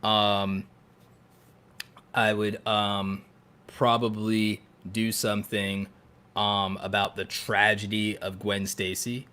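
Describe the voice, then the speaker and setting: A man's voice: on the low side, little pitch movement, slow, bright, forceful tone, clean tone. A man co-hosting a casual podcast, explaining his advice enthusiastically and thinking aloud in long, listed-out points. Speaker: podcast co-host and content creator.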